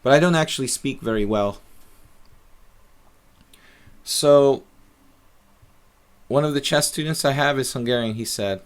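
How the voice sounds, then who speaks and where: A man's voice: mid-range voice, unhurried, long pauses. A middle-aged man casually commentating his own chess games on a live stream, thinking aloud with many fillers.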